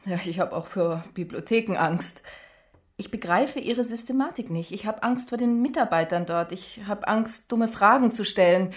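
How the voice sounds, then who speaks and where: Actress as a low-voiced young woman student. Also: close to an everyday voice. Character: young woman doctoral student, coaxing, insecure. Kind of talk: naturalistic radio drama dialogue.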